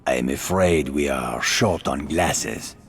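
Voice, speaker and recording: An actor playing a suave, eloquent French resistance leader in a video game, theatrical, with a French accent. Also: male voice, very deep voice, slow, long reverb tail, full character voice. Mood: suave, dry. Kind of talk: cinematic video game acting.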